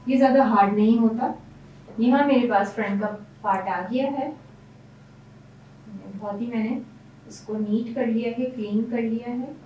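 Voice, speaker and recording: A woman's voice: on the high side, darkish tone, echoey room, distant mic, slight background noise, rough recording. A woman hairstylist explaining each step of a hairstyle as she works in a salon. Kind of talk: hairstyling tutorial. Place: salon room.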